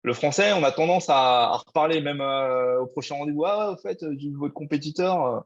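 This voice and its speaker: French guy on a webinar panel, talks fast, kinda muffled audio. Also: fairly high pitch. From France. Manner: quick, rambling, fillers.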